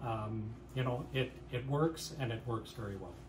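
A middle-aged man who leads a farm aid nonprofit, answering interview questions in an earnest, measured way with frequent pauses. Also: mid-range voice, distant mic, a little hiss or hum. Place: indoor lobby with stone fireplace. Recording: echoey room from off the mic.

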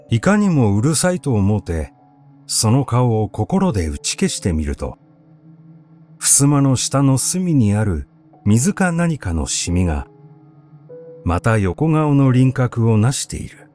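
A man reading literary prose as an audiobook narrator, in a measured, deliberate storytelling delivery. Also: medium pitch, warm tone. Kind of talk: literary recitation, scripted narration.

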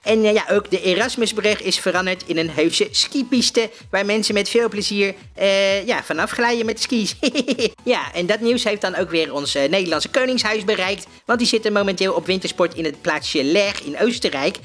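Man as a giggly, high-voiced cartoon podcast host. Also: moderate pace, few pauses, fairly bright tone. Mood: amused, chatty. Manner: giggly, exclamatory, hesitant rambling. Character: excitable, giggly male cartoon podcast host, easily flustered. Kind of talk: comic mock podcast presenting.

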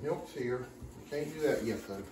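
A man's voice: mid-range voice, moderate pace. A man hosting a casual home cooking video, chatting playfully and using pet names. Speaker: cooking show host. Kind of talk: casual chat while cooking. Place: indoor home kitchen. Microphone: camera a few feet away on counter. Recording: roomy kitchen, some background noise.

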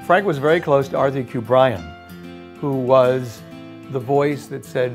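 Older guy chatting in an interview, gravelly creaky voice, soft music behind. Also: mid-range voice, unhurried. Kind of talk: casual interview reminiscence.

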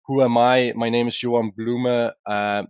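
A man with a Belgian accent, a company founder presenting a webinar in a conversational, explanatory way, often pausing on "uh" and restarting sentences. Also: mid-range voice, little pitch movement, clean tone, dry recording, dull audio.

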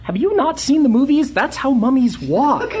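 A woman playing an excitable, sarcastic, grumbling sidekick in a comic pulp adventure audio drama. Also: sits high, moderate pace, background noise. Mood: exasperated.

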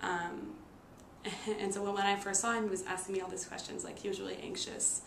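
A young woman shares a personal story in a casual, halting way, with a marked stutter that repeats sounds and stalls on words. Her pitch stays quite flat. She is recorded in an echoey room with some noise behind her. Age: 20s. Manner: halting, with frequent fillers. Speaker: nursing student and stuttering therapy client. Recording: roomy echo with noticeable background noise.

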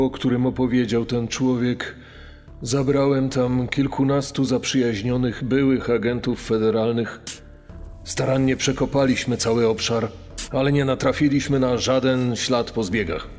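An actor playing a retired investigator giving measured, matter-of-fact testimony in a true-crime podcast. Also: male voice, medium pitch, fairly even intonation, a little mellow, natural voice. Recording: long reverb, music underneath.

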